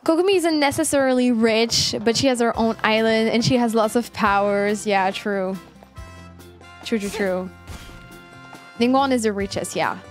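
A young woman in her twenties with a fairly high voice, chatting casually on a game stream. Soft game music plays behind her as she talks. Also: moderate pace. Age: 20s. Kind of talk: casual live chat while gaming.

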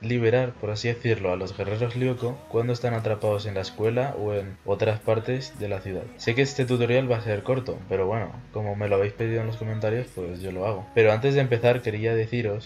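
A man's voice: on the low side, a little mellow. A young man with an accent from Spain, presenting a casual video game tutorial as host over background music.

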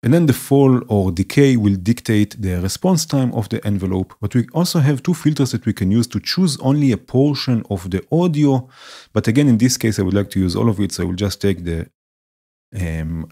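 Calm male tutor, low voice, relaxed step-by-step explainer with casual fillers. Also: moderate pace. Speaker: music production tutor. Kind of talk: screen-recorded software tutorial.

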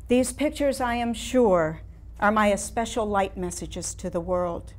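A woman's voice: mid-range voice, lightly acted. A woman playing a wistful, ailing artist in her late seventies in a theatrical staged reading. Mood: reverent. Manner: slow, deliberate, poetic delivery with pauses. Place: room of a house, seated before an audience.

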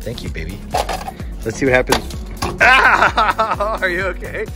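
A young adult man who is a parent filming an outdoor toy unboxing and gently encouraging his children with questions. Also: sits high, wildly swooping pitch, unhurried, music in the background. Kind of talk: casual chat with children. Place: outdoor wooden deck.

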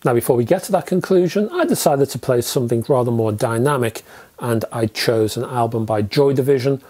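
A middle-aged British man presents a review to camera. His voice sits at an average male pitch, and he speaks in measured, descriptive sentences. Key phrases get deliberate emphasis. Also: darkish tone, breathy edge. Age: 50s. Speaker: product reviewer and host. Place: indoor room with record shelves.

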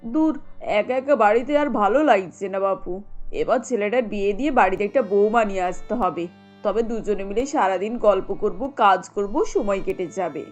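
A woman's voice: medium pitch, normal speed, faint music behind, natural voice. A woman playing a kindly middle-aged housewife and mother-in-law in a cartoon, homely in manner. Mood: bored, wistful. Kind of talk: cartoon dialogue.

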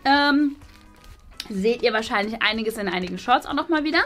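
A woman's voice: on the high side, animated, moderate pace, a bit bright. A woman in her thirties hosting a shopping haul vlog in casual chat. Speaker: vlog host. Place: small indoor room. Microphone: hand-held camera at close range. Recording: music behind the voice. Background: background music.

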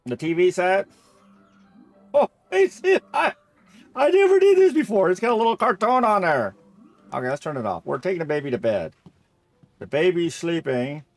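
A man's voice: high-pitched, big pitch swings, moderate pace, long pauses, no echo. A middle-aged man giving casual, rambling, easily amused commentary as he plays a game. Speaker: gamer playing through a game.

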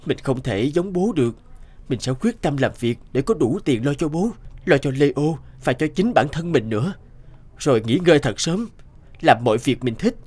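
A man's voice: mid-range voice, lively intonation, normal speed, a little mellow, faint music behind, lightly acted. A man voicing a stubborn, earnest young workaholic programmer in a cartoon drama. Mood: determined.

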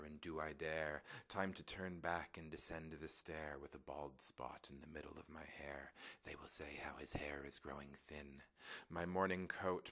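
A man reading a poem aloud in a measured, expressive dramatic recitation, with phrases that often trail off quietly. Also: very deep voice. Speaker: poetry reader.

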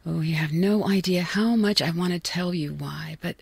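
A woman voicing a loving but secretive mother in a video game, gently firm and evasive. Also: on the low side, normal speed, creaky, slightly rough, no echo, full character voice. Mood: gentle, firm. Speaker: voice actor.

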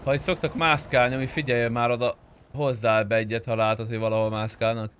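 A man talks casually as a player in a roleplay game, in an ordinary mid-pitched voice. Faint car sounds run behind him. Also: flat delivery, fairly bright tone, a little hiss or hum. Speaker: gamer in a multiplayer roleplay server.

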